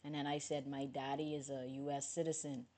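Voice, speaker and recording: A woman from the Bahamas, chatting casually with viewers on a livestream, outspoken and animated, swinging from loud outbursts to low mutters. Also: brisk.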